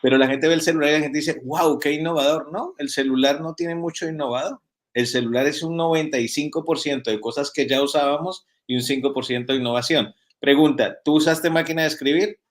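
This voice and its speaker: A man's voice: fairly high pitch, steady tone, a little fast. Man preaching through rhetorical questions. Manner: earnest teaching tone with rhetorical questions. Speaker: pastor and author. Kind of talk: interview guest. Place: home in Spain. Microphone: video call.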